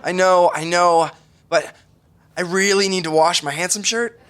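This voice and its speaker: Awkward nervous sitcom roommate, stammers, keeps apologizing, bit of noise behind. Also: male voice, high voice, steady tone, a little slow, fairly bright tone. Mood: casual. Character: nervous, awkward, rambling young man, Michael, a sitcom roommate. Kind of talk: sitcom acting.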